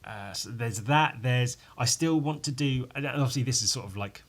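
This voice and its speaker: A man with a croaky voice rambling casually on his own as a podcast host, informal and sweary, poking fun at himself and tacking questions onto his remarks. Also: medium pitch, normal speed, fairly bright tone. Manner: sweary, informal, self-deprecating, with tag questions. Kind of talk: casual rambling solo chat.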